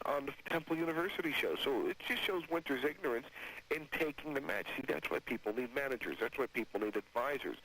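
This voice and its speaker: Husky guy on old radio doing cocky wrestling manager banter, swings all over the place, sarcastic. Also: medium pitch, fairly bright tone, poor audio. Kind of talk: combative, joking radio banter.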